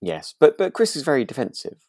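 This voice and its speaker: A man co-hosting a podcast in relaxed chat, speaking tentatively as he thinks aloud. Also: medium pitch, a little fast, no room sound, clean studio sound. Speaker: podcast co-host. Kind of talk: relaxed chat discussing a radio drama.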